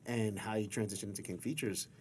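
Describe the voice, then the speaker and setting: A man's voice: on the low side, fairly even intonation, quick pace, husky. A man hosting a casual interview, speaking informally and often repeating phrases in agreement. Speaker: podcast host and interviewer.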